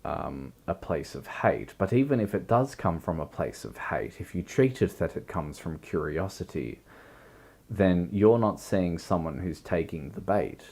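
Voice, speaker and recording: A man with a croaky voice, hosting a livestream and musing slowly through a philosophical chat that builds into long lists. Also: deep voice, animated, a little mellow. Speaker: livestream host.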